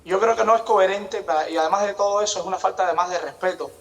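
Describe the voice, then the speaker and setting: A man's voice: high voice, rapid-fire. A young man with a Cuban accent giving emphatic, indignant political opinion as a debate guest. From Cuba. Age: young adult. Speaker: youth activist, debate guest.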